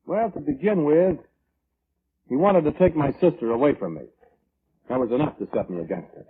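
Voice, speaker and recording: A man's voice: fairly high pitch, animated, fairly quick, husky. An actor playing a selfish, self-justifying man in an old-time radio drama, defensive and colloquial in manner. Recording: muffled vintage radio recording.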